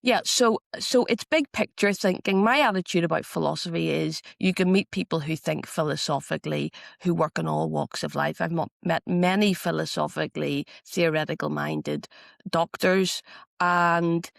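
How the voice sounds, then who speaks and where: A woman in her forties with a Belfast accent talks through her ideas as a podcast guest. She is articulate and reflective and thinks aloud. Her voice has a heavy vocal fry, and the recording is close and dry. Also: deep voice, normal speed, dry recording. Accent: Northern Irish, Belfast. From Northern Ireland. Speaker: guest, philosopher and researcher. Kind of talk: podcast interview.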